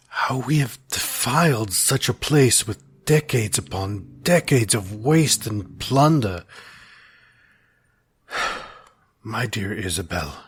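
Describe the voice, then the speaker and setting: A man acting an earnest, lovelorn gentleman of old New York, reading his formal letter in a solemn, wistful, measured way with a husky voice. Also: lowish voice, dry recording, heavily acted. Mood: awed, wistful, then tender, solemn.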